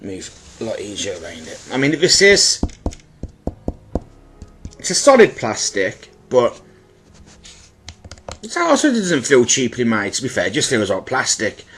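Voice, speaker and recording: A man's voice: medium pitch, wildly swooping pitch, unhurried, pauses often, a bit bright, husky, faint music behind. A man with a slightly bunged-up, stuffy-nosed voice, giving informal, rambling and apologetic commentary as he presents an item in his own video. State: stuffy with a blocked nose. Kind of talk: casual unboxing-style product commentary.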